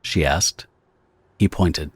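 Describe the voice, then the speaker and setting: A man's voice: extremely low pitch, slow, breathy edge, no echo. A man reading scripted narration for a horror audio drama. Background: guitar music.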